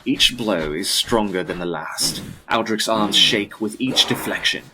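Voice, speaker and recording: A man with a deep, husky voice giving a dramatic scripted narration of a gothic story. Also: measured pace, background noise.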